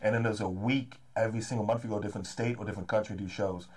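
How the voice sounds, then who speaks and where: A man's voice: on the low side, slightly rough. A man in his thirties from the Los Angeles area, a promoter chatting eagerly as an interview guest, often tacking "man" onto his sentences. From Los Angeles area, California. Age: 30s. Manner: fast, energetic, repeats "man" often. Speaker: comedy and hip-hop promoter, guest. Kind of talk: casual interview chat.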